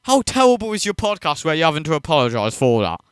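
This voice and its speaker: A man's voice: on the high side, big pitch swings, fast, clean tone, dry recording, clean studio sound. A man hosting a reaction video, ranting in disbelief and swearing freely as he mocks what he watches. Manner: incredulous, profane, mocking.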